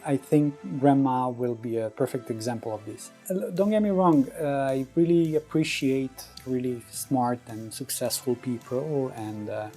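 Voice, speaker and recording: A man's voice: mid-range voice, a little slow, hardly any pauses, warm tone, soft background music. A man from Romania hosting a vlog, talking casually and at length to viewers, emphatic and repeating his phrases, often checking in with a tag question. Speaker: vlog host. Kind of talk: casual, rambling monologue to viewers.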